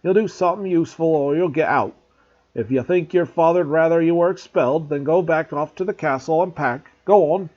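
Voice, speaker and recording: A man doing a booming gruff gamekeeper. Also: animated, moderate pace. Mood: fierce. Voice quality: gruff, growling, loud, rustic speech. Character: huge gruff rough-spoken male gamekeeper. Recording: dull lo-fi recording.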